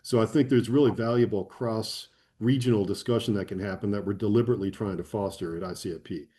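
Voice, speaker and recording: Brief: man, low mellow voice, thoughtful and enthusiastic interview guest. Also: fairly even intonation, fast talker. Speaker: conference guest. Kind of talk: conversational interview.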